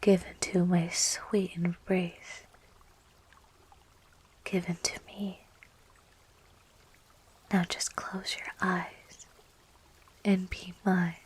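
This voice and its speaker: A woman voicing a young, possessive, lovestruck plant monster girl in an intimate, breathy, slow and coaxing ASMR roleplay, close to the microphone. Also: lowish voice, level tone, full character voice. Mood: hypnotic, coaxing. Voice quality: breathy, whispery. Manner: slow, coaxing, teasing, giggly, doting.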